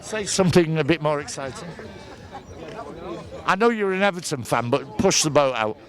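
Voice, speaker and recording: A man's voice: on the high side, lively intonation, moderate pace. A man with a Northern English accent doing jokey, bantering street interviews as a host at an outdoor market. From northern England.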